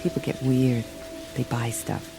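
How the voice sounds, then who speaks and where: Gravelly, hardboiled female gumshoe. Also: a bass voice, fairly even intonation, a little slow, over music, heavily acted, low-quality recording. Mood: guarded, matter-of-fact. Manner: offhand, hardboiled, slangy. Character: tough, wry, slangy female galactic private detective. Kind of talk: radio play acting.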